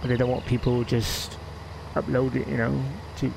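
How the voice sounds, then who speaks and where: A man's voice: medium pitch, level tone, normal speed, vocal fry, background noise. A man with a West Country English accent chatting casually while streaming a game, rambling and laughing often. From England. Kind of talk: casual live-stream chat.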